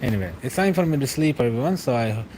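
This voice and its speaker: A young man talks to his viewers late at night in a loose, meandering way, chuckling between thoughts and letting sentences trail. His voice sits at an ordinary middle pitch. A faint background hiss runs under his home desk mic. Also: quick pace. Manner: relaxed and rambling, laughs often, trails off. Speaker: streamer reading live chat. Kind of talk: late-night casual chat.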